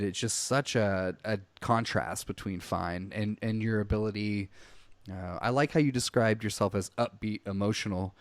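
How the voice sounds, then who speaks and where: A man's voice: lowish voice, no room sound. A man hosting an interview over a call, talking casually and rambling with many fillers. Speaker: podcast host. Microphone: headphones on a call.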